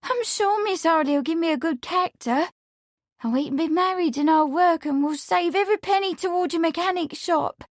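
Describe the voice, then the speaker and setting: Young housemaid, played by a woman narrator: high voice, breathy and pleading, tearful schemer in love, close dry storybook recording. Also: fairly even intonation, slow pace, few pauses, no echo.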